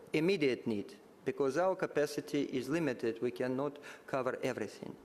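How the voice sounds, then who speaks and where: A man's voice: medium pitch, a little mellow. Russian-native man in a reverberant hall. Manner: halting, many filler sounds and restarts, measured. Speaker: panel speaker at a conference side event. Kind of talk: formal conference remarks. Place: large conference hall. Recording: hall reverb with faint ambience.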